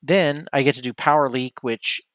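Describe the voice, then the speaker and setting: A man gives casual play-by-play of his own solo tabletop game in a medium-pitched voice. He thinks aloud and often stops to correct himself. The sound is dry and close, with little room in it. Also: moderate pace, fairly bright tone, no echo. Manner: hesitant, self-correcting, talks through each move. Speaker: streamer playing a board game solo.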